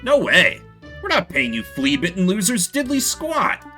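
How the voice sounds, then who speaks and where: A man's voice: sits high, wildly swooping pitch, a little slow, sharp tone, soft background music, voice pushed up, exaggerated voice. A man voicing an eager, brash young mouse brother in video game dialogue. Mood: defiant.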